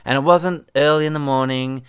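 Australian man, podcast host, rambling casual chat, smooth clear voice, dry close sound. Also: on the high side, steady tone, moderate pace, fairly bright tone, dry recording. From Perth, Western Australia. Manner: rambling, chatty, self-correcting, swears casually.

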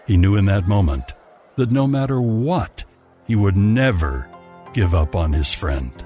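Low-voiced, expressive man narrating a story. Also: measured pace, soft background music.

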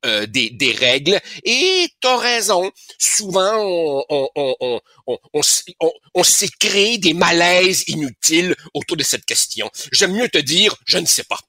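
A man's voice: on the high side, very animated, a little slow, few pauses, pushed and bright, no room sound. A man in his sixties with a Québécois accent, giving opinionated radio commentary, emphatic and full of stammered repetitions. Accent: Québécois French. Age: 60s. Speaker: columnist.

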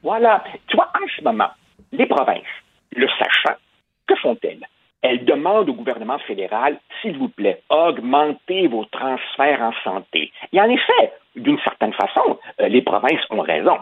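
A man's voice: medium pitch, wildly swooping pitch, normal speed, breathy edge, dry recording. Emphatic man in his fifties on a phone line. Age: 50s. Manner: emphatic, repeats words, addresses host by name. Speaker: commentator guest. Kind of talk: political commentary chat.

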